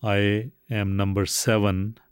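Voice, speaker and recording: Older man from Pakistan in his seventies, low and even delivery, formal and dry, close dry mic. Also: clear, resonant tone, no echo. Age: 70s. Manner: measured, formal, dry replies. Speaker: guest. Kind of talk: podcast interview.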